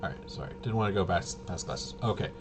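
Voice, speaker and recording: Low-voiced man, halting and noisy recording. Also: expressive. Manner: halting, hesitant, muttering to himself. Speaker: host of a tabletop RPG video. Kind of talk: casual walkthrough, thinking aloud. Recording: noisy room, clearly audible background noise.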